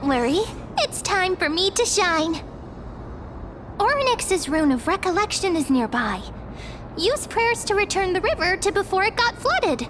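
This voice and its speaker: A woman voicing a small, excitable, childlike fairy companion in a video game, exclamatory and theatrical. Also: very high-pitched, a little slow, a little hiss or hum, heavily acted. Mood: eager, amazed. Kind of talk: animated video game voice acting.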